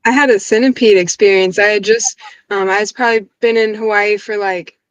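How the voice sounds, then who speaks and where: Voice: mid-range voice, fairly even intonation, a little fast, smooth, no room sound, poor audio. A speaker telling personal stories casually, in a slangy, rambling way.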